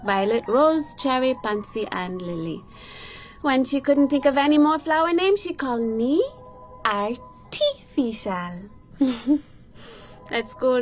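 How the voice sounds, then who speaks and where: High-voiced, cheeky Jamaican bar manageress. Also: female voice, expressive, faint music behind. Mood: chatty, playful. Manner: teasing, unhurried, frank. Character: cheeky, warm-hearted young Jamaican woman managing a bar. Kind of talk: radio drama acting.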